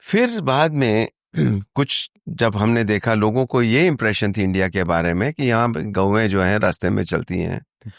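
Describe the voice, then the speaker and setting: A man's voice: fairly low pitch, moderate pace, gravelly, dry recording, clean studio sound. An older man with an Indian accent, a podcast guest telling anecdotes and explaining in a conversational way, switching between Hindi and English. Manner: explanatory, illustrates with anecdotes and asides.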